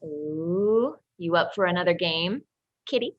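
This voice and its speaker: A woman's voice: fairly low pitch, expressive, a little slow, reverberant, lo-fi sound. A woman playing a seductive, sadistic twin sister in a horror play, teasing and mock-polite. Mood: sly, teasing. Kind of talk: dramatic livestream script reading.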